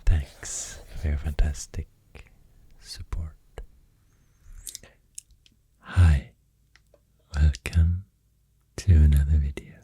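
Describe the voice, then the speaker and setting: A person with a Swedish accent speaking softly in ASMR style, mostly whispering, in a hushed, unhurried way with hesitant pauses, close to the microphone. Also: very deep voice, level tone, slight background noise. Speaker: ASMR video host. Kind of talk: soft-spoken ASMR, rambling.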